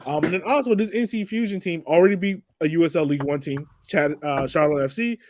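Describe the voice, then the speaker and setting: A man's voice: a little high, fast, noisy background. A man hosting a casual sports podcast, talking in an informal, slangy way. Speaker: podcast host.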